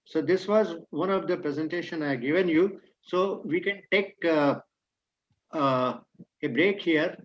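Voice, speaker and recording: A man's voice: a little high, measured pace, lo-fi sound. A man whose first language is Hindi, speaking Indian English and mixing in Hindi, giving a motivational talk on leadership that states maxims and then explains them. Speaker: guest speaker.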